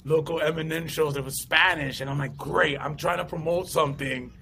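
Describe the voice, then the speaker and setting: A man's voice: fairly high pitch, a little fast, creaky, slight background noise. A man in his thirties from the United States, a comedian and actor telling stories as an interview guest, often acting out quotes and voices. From USA. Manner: animated storyteller, acts out voices and quotes. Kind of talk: casual interview chat.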